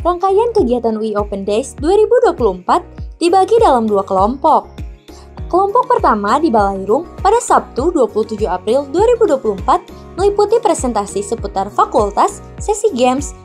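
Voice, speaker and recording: A woman reporter reading a scripted campus news report with lively emphasis. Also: a little high.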